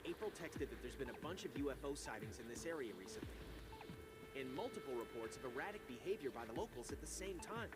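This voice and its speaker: A man's voice: mid-range voice, lively intonation, quick pace, loud music behind the voice, lightly acted. An actor voicing a brainy, earnest young male mutant turtle in a video game, analytical in his delivery.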